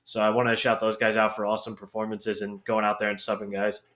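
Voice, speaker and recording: A man's voice: fairly low pitch, monotone, quick pace, fairly bright tone. A man from New York with a Brooklyn accent, talking as a guest in a casual chat, laid-back and plainspoken. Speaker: grappling guest analyst.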